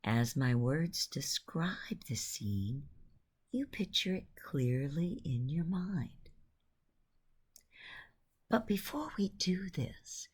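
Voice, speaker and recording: A woman with a very deep, husky voice tells a bedtime story for children. She speaks in a hushed, soothing tone, often close to a whisper. Her phrases come extremely slowly, with long pauses between them. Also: expressive. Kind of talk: guided imagery bedtime storytelling.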